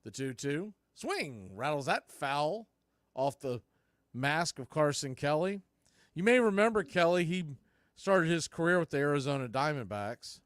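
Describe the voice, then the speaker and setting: A man with a high voice calls baseball play-by-play in the style of live radio. His delivery is punchy and clipped, rising with the action, and the sound is close and dry. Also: big pitch swings, measured pace, dry recording.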